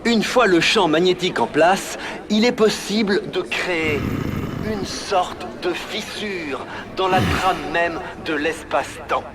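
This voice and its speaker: A man voicing a scientist grandly presenting an invention in an animated game, lecturing with theatrical emphasis. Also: high-pitched, slow pace, background noise. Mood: proud, lecturing. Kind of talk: animated video game cutscene dubbing.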